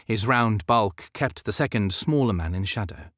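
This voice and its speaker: Male audiobook narrator, low register, measured and expressive storytelling, close dry studio sound. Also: no echo. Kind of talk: scripted narration of historical romance fiction.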